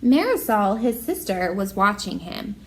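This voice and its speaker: A woman teacher with an expressive, encouraging manner, reading a picture book aloud and talking directly to young pupils. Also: mid-range voice, measured pace, low-quality recording.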